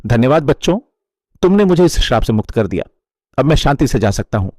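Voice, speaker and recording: A man voicing the solemn ghost of a long-dead king in a scripted horror story, speaking gravely in declarations. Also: mid-range voice, sing-song, fast, a little mellow, dry recording, clean studio sound. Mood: grateful, solemn.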